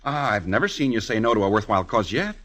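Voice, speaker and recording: An actor playing a breezy, flattering, smooth-talking male army sergeant in 1940s radio style. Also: lowish voice, quick pace. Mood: breezy, coaxing. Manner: breezy, cajoling. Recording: echoey, reverberant old radio broadcast.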